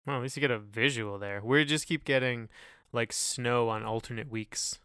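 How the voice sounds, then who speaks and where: A man's voice: medium pitch, moderate pace, sharp tone, clear, resonant tone, no room sound, clean studio sound. A man co-hosting a podcast in casual chat, talking in a hesitant, rambling way full of hedges. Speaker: podcast co-host.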